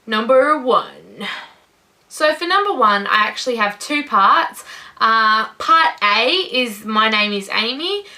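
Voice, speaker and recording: Casting: nineteen-year-old Aussie woman, bright and sharp-toned, pitched a little high, chatty and enthusiastic. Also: expressive, slow. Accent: Australian. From Australia. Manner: upbeat, gushing, says "incredible" and "you know" often. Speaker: vlogger. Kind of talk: casual talk to camera.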